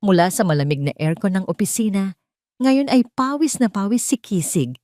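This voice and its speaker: A woman narrating a scripted audio drama in a steady, measured storytelling manner. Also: on the low side, dry recording, studio quality.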